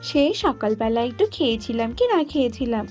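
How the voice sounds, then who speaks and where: A woman's voice: fairly high pitch, lively intonation, fairly quick, a little mellow, natural voice. A woman voicing a cheeky, wheedling young girl bird in a children's cartoon. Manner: cheeky, coaxing. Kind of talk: cartoon dubbing. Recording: muffled audio with background music.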